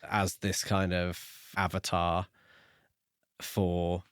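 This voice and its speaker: A man co-hosting a casual book discussion, reasoning things through in a measured, tentative way. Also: sits low, flat delivery, no room sound, clean studio sound. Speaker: podcast co-host.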